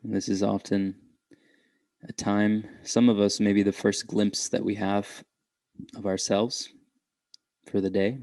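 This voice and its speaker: A man guiding a meditation in a gentle, unhurried way, leaving long pauses between short phrases. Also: fairly low pitch, flat delivery, no room sound. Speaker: meditation guide. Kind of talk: guided meditation.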